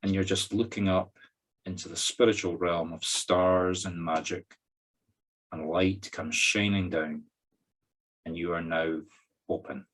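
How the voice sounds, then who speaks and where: A Scottish man with a deep voice acts as a medium guiding a spiritual circle. He leads the meditation in short, spaced-out phrases, directing listeners through each step. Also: fairly even intonation, a bit bright. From Scotland. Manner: slow, pausing instructions in short phrases. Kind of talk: guided meditation.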